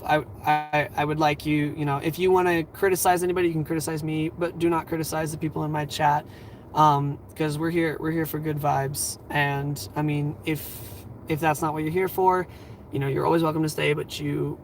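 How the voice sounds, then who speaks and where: A man in his twenties hosting a livestream, giving earnest advice in a rambling, halting way full of fillers, his voice a little scratchy and tired. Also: fairly high pitch. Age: 20s. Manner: earnest, reassuring. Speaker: livestream host.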